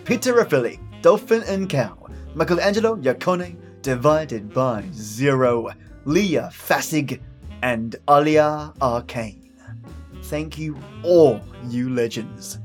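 A man's voice: medium pitch, takes it slowly. A man hosting a podcast, playful and effusive, mixing chatty asides with scripted storytelling. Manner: playful, effusive, mildly profane. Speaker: podcast host. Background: music, plucked guitar.

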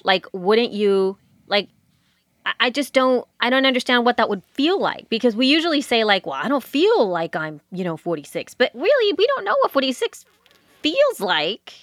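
A woman's voice: fairly high pitch, very animated, normal speed, no echo. Dry-sounding close woman's voice, forties. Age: 40s. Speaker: podcast co-host. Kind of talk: casual, reflective chat.

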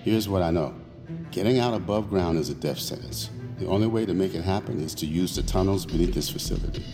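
An actor playing a confident, secretive imaginary companion in an audio drama, speaking in an assured, commanding, ominous way. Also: male voice, sits low, fairly quick, natural voice. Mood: ominous, explanatory. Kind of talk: dramatic audio fiction acting. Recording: long hall-like reverb on voice. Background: prominent music.

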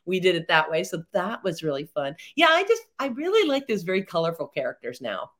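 A middle-aged woman, a theatre performer, chatting as a podcast guest in a rambling, animated way with many fillers and laughs. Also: lowish voice, fairly quick. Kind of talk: casual interview chat.

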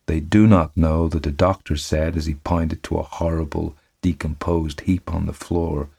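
A man reading a ghost story in a put-on American accent, voicing a dying man making his last confession in grave, measured tones. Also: extremely low pitch, fairly even intonation, creaky, mellow, breathy edge, full character voice. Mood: grim.

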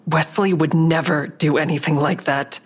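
A woman's voice: low-pitched, steady tone, moderate pace, slightly rough. An actress playing an anxious, defensive woman under interrogation in an audio drama, guarded and earnest. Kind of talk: audio drama acting with first-person narration.

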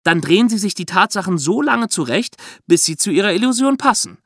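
Male podcast host, higher register, highly animated, conversational true crime explainer, dry sound. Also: a little fast, dry recording.

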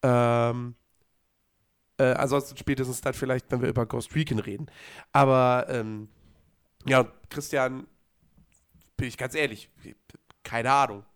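A man co-hosting a podcast, hoarse from a cold, chatting casually with lots of fillers and restarts. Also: medium pitch, steady tone, a little slow, pauses often. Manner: rambling, many fillers and restarts. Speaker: podcast co-host. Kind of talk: casual chat.

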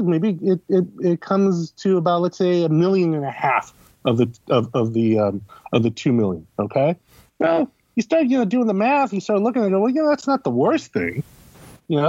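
A man with a slightly high voice explains things as an interview guest. He talks conversationally, setting up questions and answering them himself. The audio is dry and a little dull. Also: a little mellow, dry recording. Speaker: guest, critic and editor. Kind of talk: casual explanatory interview talk.

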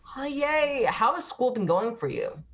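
An enthusiastic talk show host with a medium-pitched voice, gushing over guests in an upbeat interview style. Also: very animated, measured pace, fairly bright tone.

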